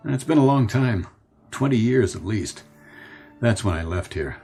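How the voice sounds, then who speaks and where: A weary, nostalgic businessman in middle age for a radio play. His voice is lowish yet animated in pitch, wistful and musing. Music sits behind the voice. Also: a little slow. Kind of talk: radio drama acting.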